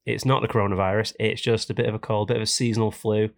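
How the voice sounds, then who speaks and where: A man hosting a casual podcast, hoarse and raspy from a cold, ranting jokily about things that annoy him. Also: lowish voice, level tone, fast. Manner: jokey, complaining rants. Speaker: podcast host.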